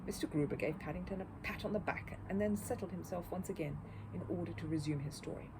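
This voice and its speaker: A woman reading a children's story aloud as an expressive narrator. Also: lowish voice, fast. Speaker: storybook reader. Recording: clear background noise.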